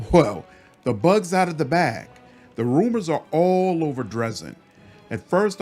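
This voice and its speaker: Troubled spy read by a man, blunt and folksy, scolding in lively swings, game dialogue. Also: high-pitched, voice pushed up. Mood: indignant. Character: blunt, troubled female spy warning her commander.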